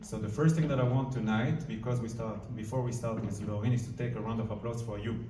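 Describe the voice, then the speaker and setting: A man's voice: mid-range voice, fairly even intonation, fairly quick, mellow, slight background noise. Man speaking in an echoing hall. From France. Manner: earnest, informal, searching for words. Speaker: diplomat. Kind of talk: speech to a live audience. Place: large community hall. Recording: roomy hall, mic at a distance.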